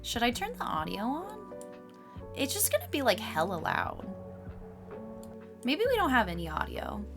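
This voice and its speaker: Chatty woman streamer, a bit raspy. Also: fairly high pitch, huge pitch swings, normal speed, over music. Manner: chatty, laughs often, reacts to chat. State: slightly raspy voice. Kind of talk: casual live-stream chat.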